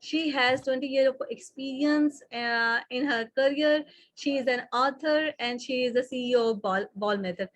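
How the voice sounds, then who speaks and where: A woman hosts an interview over a video call. She speaks haltingly and searches for her words as she asks her questions. Her voice sits somewhat high, recorded dry and close with no room echo. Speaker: host. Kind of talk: interview hosting.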